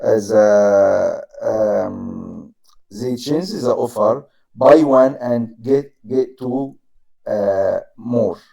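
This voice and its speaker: Slow, gravelly learner. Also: male voice, fairly low pitch, warm tone. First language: Arabic. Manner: halting, searching for words, simple grammar. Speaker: English learner. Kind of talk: casual conversation practice.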